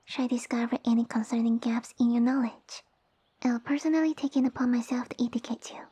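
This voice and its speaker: Woman playing a haughty little high-voiced lamia noblewoman. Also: steady tone, brisk, warm tone, breathy edge. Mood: bossy, exacting. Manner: pompous, formal, commanding speech. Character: haughty, sassy young lamia noblewoman, tiny monster girl. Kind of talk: ASMR roleplay audio drama.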